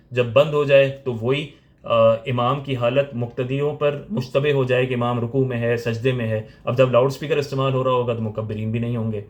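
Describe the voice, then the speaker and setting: A man with a Pakistani accent explaining religious points step by step, like a teacher giving a lecture. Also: medium pitch.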